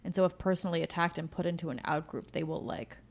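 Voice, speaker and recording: A woman's voice: low-pitched, quick pace. A woman co-hosting a casual podcast chat, giving blunt opinions in short, declarative phrases. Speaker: podcast co-host. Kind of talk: casual conversational political chat.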